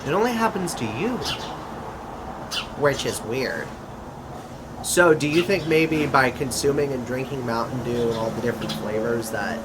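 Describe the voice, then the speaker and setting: A man playing a casual, curious grown man in an amateur skit, talking conversationally and pausing mid-sentence. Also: mid-range voice, natural voice. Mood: curious, musing. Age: adult. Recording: clearly noisy, constant background hum.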